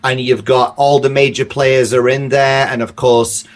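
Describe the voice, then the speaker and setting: Slightly low-voiced man, hesitant and thoughtful. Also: little pitch movement, moderate pace. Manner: hesitant, thoughtful, circling back over phrases. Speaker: audio drama creator, podcast guest. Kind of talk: casual interview chat.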